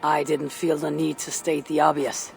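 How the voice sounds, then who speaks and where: A woman's voice: low-pitched, flat delivery, moderate pace, gravelly, soft background music. An actress playing a proud, blunt Viking woman warrior with a Scandinavian accent in a video game cutscene. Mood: scornful, dry. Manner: terse, blunt, dryly scornful.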